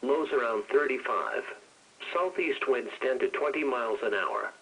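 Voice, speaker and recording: Husky American male weather radio announcer. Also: medium pitch, very animated, normal speed, a bit bright. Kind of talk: scripted weather forecast reading. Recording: radio broadcast with telephone-like tone.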